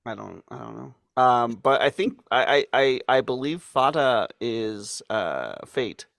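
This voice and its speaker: Slow, halting man, dry close mic. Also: medium pitch, lively intonation, vocal fry, fairly bright tone, no echo. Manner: halting, many fillers and restarts, laughs often. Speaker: podcast co-host. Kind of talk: casual chat.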